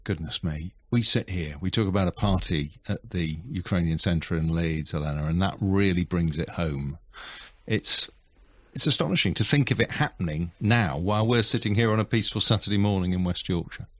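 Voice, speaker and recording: A man's voice: deep voice, normal speed, fairly bright tone, dry recording, lo-fi sound. A man presenting local radio, interviewing in a chatty, sympathetic way. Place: radio studio.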